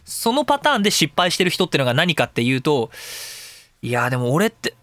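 A high-voiced, bright man hosts a casual radio talk show, his pitch leaping all over as he rambles quickly. He mixes serious points with crude, jokey rants. The sound is close and dry. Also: sing-song, no room sound.

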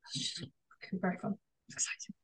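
A woman's voice: rapid-fire, a bit bright, poor audio. A woman co-hosting a podcast, talking enthusiastically and rambling about her writing plans. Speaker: co-host and author. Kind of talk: casual interview chat.

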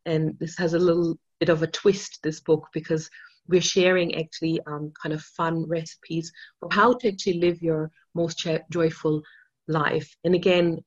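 A woman's voice: low voice, level tone, moderate pace, lo-fi sound. A woman from Austria, not a native English speaker, telling an interviewer about her writing with eager, restart-filled talk. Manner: enthusiastic storytelling with many restarts and fillers. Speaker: author, interview guest.

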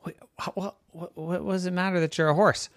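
A storyteller voicing an excitable, boastful young male pig with a high, whiny, squealing voice. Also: fast, dry recording, studio quality, lightly acted. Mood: curious.

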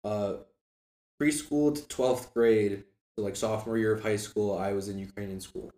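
A man's voice: on the low side, moderate pace, mellow. A young man in his early twenties chatting casually as a guest, in a conversational and lightly self-deprecating manner. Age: early 20s. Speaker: guest, former student club officer. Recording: echoey room with faint background noise.

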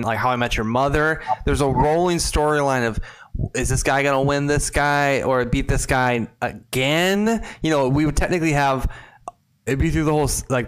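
A man's voice: mid-range voice, clean tone. A man co-hosting a podcast in casual chat, rambling and joking, reading out the chat. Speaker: podcast co-host.

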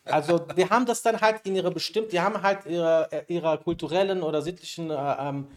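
A man's voice: on the high side, darkish tone. A man who grew up in Berlin, a law graduate as podcast guest, explaining in casual chat with many fillers. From Berlin, Germany. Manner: quick, hesitant with many fillers. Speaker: guest lawyer.

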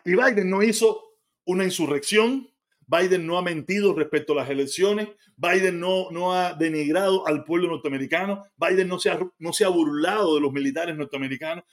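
High-voiced Cuban man ranting. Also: brisk. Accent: Cuban Spanish. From Cuba. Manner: blunt, argumentative, swears freely. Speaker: live stream host reading chat. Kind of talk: casual live stream chat.

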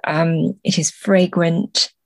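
A woman explaining things as an interview guest, thoughtful and measured, pausing between phrases. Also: lowish voice, flat delivery, gravelly, dry recording. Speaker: podcast guest, translator.

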